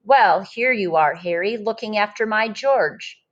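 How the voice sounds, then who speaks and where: Elderly storybook wife, read by a woman: ninety, doting, playful, affectionate, unhurried. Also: medium pitch, expressive, lo-fi sound, close to an everyday voice. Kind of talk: children's picture-book read-aloud.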